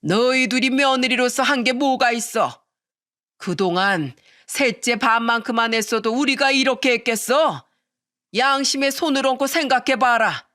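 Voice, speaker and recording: A woman's voice: a little high, moderate pace, a bit bright, voice pushed up, lightly acted. A woman voicing a stern elderly father-in-law who angrily rebukes his family in a dramatic story. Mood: angry rebuke. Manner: thundering, scolding.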